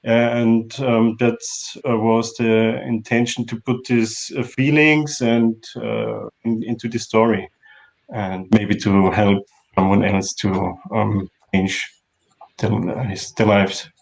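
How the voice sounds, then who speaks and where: A man giving a personal account as a filmmaker guest, haltingly searching for his words in English. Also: on the low side, flat delivery, gravelly, rough recording. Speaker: filmmaker guest on a festival panel. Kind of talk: personal account in a panel interview.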